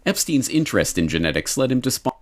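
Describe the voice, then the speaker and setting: A man reading scripted documentary narration in a measured, formal manner. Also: medium pitch, big pitch swings.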